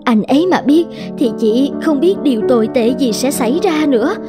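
A woman voicing an anxious, scheming adulterous wife in an animated drama, polite and pleading. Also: high voice, moderate pace, over music. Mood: pleading, remorseful. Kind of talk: Vietnamese animated drama dubbing.

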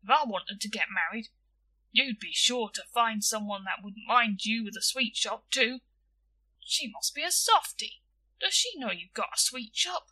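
Woman voicing an earnest, bright-voiced young boy. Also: on the high side, lively intonation, measured pace, slightly rough, full character voice, rough recording. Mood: blunt, curious. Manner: ungrammatical, stiltedly polite. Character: earnest, blunt, self-important young boy.

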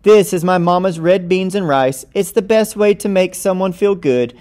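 A man's voice: high voice, normal speed, a little mellow, pitched above the performer's own voice, close to an everyday voice. A man voicing a kindly, earnest neighbor girl who cooks, in a picture book.

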